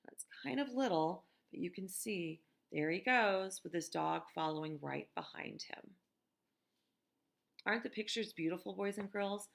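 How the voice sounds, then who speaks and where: An American woman reading a picture book aloud to children in a gentle, expressive teacher's manner, with conversational asides. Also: medium pitch, a little slow, vocal fry. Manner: gentle, expressive, asks listeners questions.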